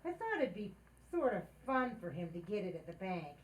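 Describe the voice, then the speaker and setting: A woman playing a scheming, excitable housewife with dry wit, wisecracking theatrically in an old-time radio sitcom. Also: mid-range voice, fairly quick, close to an everyday voice. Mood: wry.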